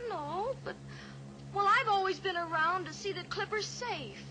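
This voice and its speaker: A woman's voice: very high voice, a little slow, noisy background. An actress playing an eager, earnest young woman pilot in a 1950s radio drama, a little anxious in manner. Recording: old radio recording with music.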